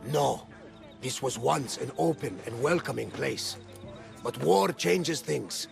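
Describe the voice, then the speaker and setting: An actor playing an excitable, devout old sea captain in a video game, gravelly, with a hearty, earnest manner. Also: male voice, medium pitch, takes it slowly, full character voice. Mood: reverent. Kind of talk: video game cutscene acting. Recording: music behind the voice.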